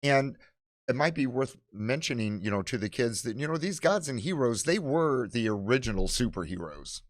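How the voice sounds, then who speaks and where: A man giving an unscripted product presentation as a presenter of library kits, speaking in a halting way with many fillers. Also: medium pitch, lively intonation, dry recording, studio quality. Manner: halting, filler-heavy delivery.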